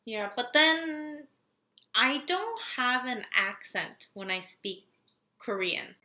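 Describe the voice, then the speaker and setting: A woman's voice: a little high, lively intonation, bright, forceful tone. A young woman co-hosting a podcast in casual chat, agreeing often and adding her own thoughts. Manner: supportive listener, quick agreeing interjections. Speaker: podcast co-host.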